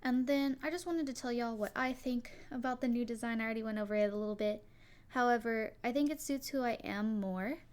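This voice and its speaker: A young woman with a soft, quiet voice, casually talking to her viewers about her character design, rambling a little with fillers and restarts. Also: a little high, brisk, clear, resonant tone. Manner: rambling, many likes and restarts. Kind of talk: casual solo talk to viewers.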